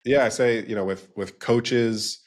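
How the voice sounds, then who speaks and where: A man's voice: medium pitch, fairly even intonation, measured pace. A man with a cold, a bit nasal, speaking casually as a podcast guest, explaining his branding business in long rambling runs. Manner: rambling, restarts, lists in threes. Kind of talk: casual interview talk.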